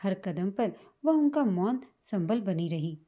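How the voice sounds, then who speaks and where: A woman reading a scripted, sentimental family story as a storyteller, in a measured manner. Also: mid-range voice, lively intonation, mellow, highs cut off. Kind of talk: scripted emotional story narration in Hindi.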